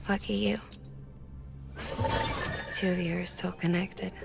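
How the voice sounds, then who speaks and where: Low, creaky-voiced weary woman. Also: fairly even intonation, slow pace, loud music behind the voice, heavily acted. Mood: tired, wistful. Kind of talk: cinematic video game acting.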